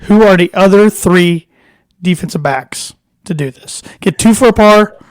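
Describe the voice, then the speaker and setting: A man co-hosting a casual sports podcast, relaxed and conversational, his voice a bit hoarse. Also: sits high, no room sound, low-quality recording. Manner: relaxed, conversational, reads trivia questions slowly. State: slightly hoarse.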